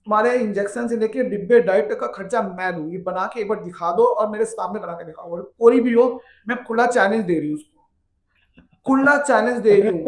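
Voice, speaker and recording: A woman bodybuilder with a naturally deep, heavy voice, speaking bluntly and defiantly as an interview guest. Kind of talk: candid interview talk.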